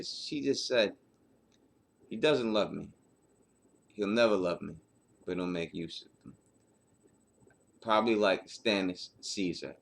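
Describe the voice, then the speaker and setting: A man's voice: low-pitched, a bit bright. A man hosting a book analysis, giving casual, off-the-cuff commentary with emphatic repetition and rhetorical questions. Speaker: host of book analysis show.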